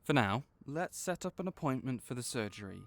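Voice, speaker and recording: A man playing a kind, patient veterinarian for magical creatures in a comforting audio roleplay, explaining things gently and encouragingly. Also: mid-range voice, sing-song, fairly quick, no echo. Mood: practical, helpful. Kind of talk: comforting audio roleplay, one-sided conversation.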